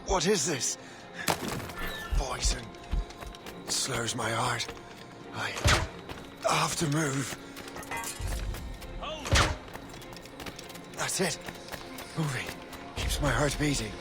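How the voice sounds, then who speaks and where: An actor playing a determined, brooding assassin turned sea captain in a video game, terse and resolute. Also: male voice, mid-range voice, slow pace, long pauses, natural voice. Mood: strained. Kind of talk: dramatic video game acting. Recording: game music behind the voice.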